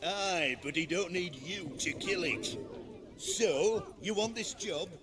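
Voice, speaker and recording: A man playing a rowdy, mocking brawler from a band of merry rogues in a fantasy video game, boisterous and rough-spoken. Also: high voice, slow, heavy background noise. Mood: roguish approval. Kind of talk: video game cutscene acting.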